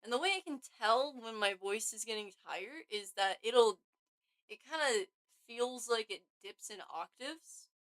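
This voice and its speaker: A woman's voice: a little high, lively intonation, a little slow, fairly bright tone. Tired-voiced young woman streaming her coding. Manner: rambling, self-interrupting, talks to chat. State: voice tired from overuse. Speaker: game developer streamer. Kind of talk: casual live-stream chat while coding.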